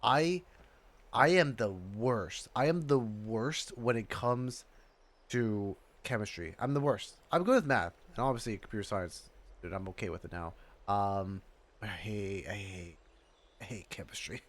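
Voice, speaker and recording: A man streaming casual game reaction commentary, haltingly repeating his phrases as he thinks aloud. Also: fairly low pitch. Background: game music.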